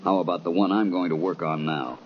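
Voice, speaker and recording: An actor playing a composed private detective in an old radio drama, probing in a measured, dry way. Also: male voice, deep voice, steady tone, lightly acted. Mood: cool, probing. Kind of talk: 1940s radio crime drama acting. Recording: dull old radio recording, faint noise.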